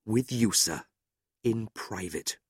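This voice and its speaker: A man voicing an indignant, honourable young gentleman, earnest and emphatic, in a storybook reading. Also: mid-range voice, sing-song, measured pace, breathy edge, no echo, studio quality, voice pushed up. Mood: controlled anger. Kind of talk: audiobook character voice.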